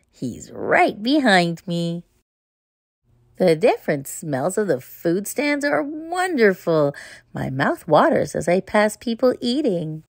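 Female storybook reader, expressive and unhurried, very varied intonation, for children's read-aloud narration. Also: fairly high pitch, no echo, clean studio sound. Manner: expressive, deliberate phrasing.

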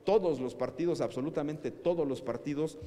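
A man's voice: on the high side, brisk, warm tone. Echoey Mexican man giving a formal talk. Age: middle-aged. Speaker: conference speaker. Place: hotel conference room, indoors. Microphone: podium microphone. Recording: echoey hall, distant, faint noise.

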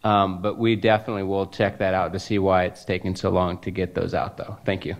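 Hesitant low-voiced man at a town hall. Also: flat delivery, fairly quick, dull audio. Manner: hesitant, searching for words.

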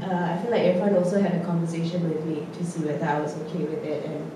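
A woman, an actor on a post-show panel, talking in a bubbly way with her pitch jumping high. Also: fast. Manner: bubbly, laughing, lively pitch jumps. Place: large theatre stage. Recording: echoey theatre from a distance with noise.

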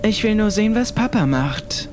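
A man's voice: sits high, expressive, normal speed, music in the background, voice pushed up. A man voicing a worried young son in a horror audiobook, urgent and questioning. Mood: reassuring. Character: worried young son, narrator of his own ordeal.

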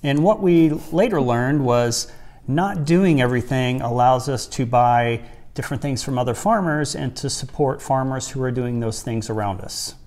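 A man in his forties, a farmer and co-host, giving measured, plain-spoken advice in a casual chat. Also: mid-range voice, a little mellow. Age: 40s. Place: small wood-panelled room indoors by a stove. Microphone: lapel mic.

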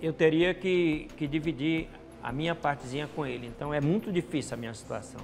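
A man's voice: on the high side, fast talker, gravelly. A man in his fifties with a northeastern Brazilian accent, speaking earnestly in a confessional interview about his own life. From rural Ceará, northeastern Brazil. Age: 50s. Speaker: snack bar co-owner. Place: indoor restaurant, seated interview.

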